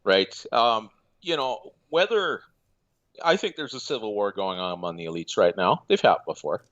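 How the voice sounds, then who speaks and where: A man calling in as a guest, talking in a measured, hesitant way with many fillers. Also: lowish voice, lively intonation, fairly bright tone, muffled recording. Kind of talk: casual remote call-in chat.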